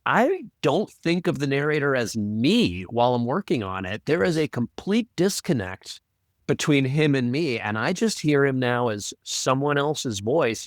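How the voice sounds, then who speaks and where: Animated male podcast co-host. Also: medium pitch, no echo, clean studio sound. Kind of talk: conversational production discussion.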